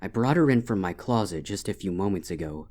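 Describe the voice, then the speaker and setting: A man voicing a haunted, grieving royal pony princess with a soft, eerily composed manner in a dark story reading. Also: deep voice, lively intonation, brisk. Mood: eerily calm. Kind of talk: dramatic fan fiction reading.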